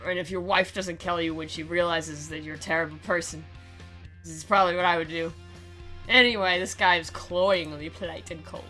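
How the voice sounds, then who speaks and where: A woman narrates a visual novel with theatrical flair and sarcastic asides. Her voice is slightly low but bright and punchy, and music plays faintly behind it. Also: steady tone, brisk. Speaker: game commentator and dramatic reader. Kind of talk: sarcastic visual novel read-aloud with commentary.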